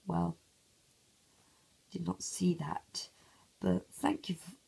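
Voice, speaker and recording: A man with a hoarse, croaky voice, half-whispering casual commentary over a mobile game at home early in the morning, recorded on a phone. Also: sits high, sing-song, slow, darkish tone. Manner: half-whispering to avoid waking sleepers. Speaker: gameplay commentator.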